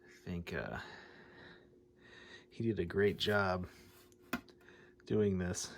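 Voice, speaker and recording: A man's voice: sits low, drawn-out words, gravelly, slight background noise. An American man talking informally and thinking aloud as he narrates a hands-on hobby repair video. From United States, Midwest. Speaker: radio hobbyist presenter.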